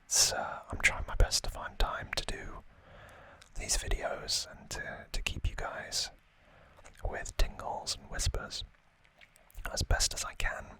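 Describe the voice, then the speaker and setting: Whispering man, soft ASMR ramble. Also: a little slow, rough recording. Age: late 20s or older. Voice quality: breathy, unvoiced whisper. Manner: rambling, hesitant, self-deprecating. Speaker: ASMR channel host. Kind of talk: whispered ASMR unboxing chat.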